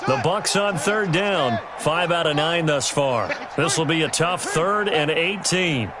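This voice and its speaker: A man with an American accent playing a polished play-by-play announcer, calling a football video game in crisp, emphatic TV style. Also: on the high side, lively intonation, unhurried. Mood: emphatic, engaged. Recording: loud stadium crowd noise behind. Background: stadium crowd, game noise.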